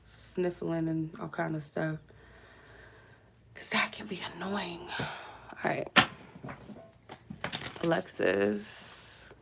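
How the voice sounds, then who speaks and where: A woman hosting a live tarot reading with a stuffy cold, rambling with many fillers and dropping to quiet murmured prayers between cards. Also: on the low side, fairly even intonation, takes it slowly, gravelly, long pauses, slight background noise.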